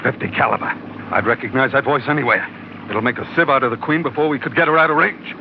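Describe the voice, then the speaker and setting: An actor playing a gruff, excitable first mate in a 1940s radio adventure, speaking in rough, punchy lines. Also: male voice, mid-range voice, fast, natural voice. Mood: alarmed, angry. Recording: dull old radio recording, loud engine noise.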